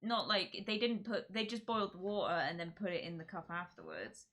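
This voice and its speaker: A woman's voice: fairly low pitch, animated, brisk, a bit bright. A woman, from Czechia, chatting and rambling on a live stream and giggling often. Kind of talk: casual live-stream chat.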